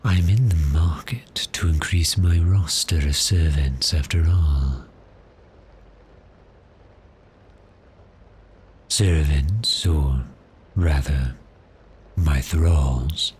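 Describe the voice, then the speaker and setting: A man's voice: very deep voice, darkish tone, a little hiss or hum. A man playing a suave, predatory vampire in an ASMR roleplay, teasing and seductive with cheerful menace, his phrases trailing into breathiness. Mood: gloating menace. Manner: slow, deliberate, seductive, taunting. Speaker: audio roleplay performer.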